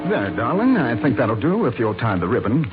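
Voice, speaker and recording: An actor playing a genial husband, measured and casual, in 1940s radio drama style. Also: male voice, mid-range voice, sing-song, over loud music, natural voice. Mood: musing. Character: genial husband chatting about an old acquaintance. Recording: slightly muffled 1940s radio recording.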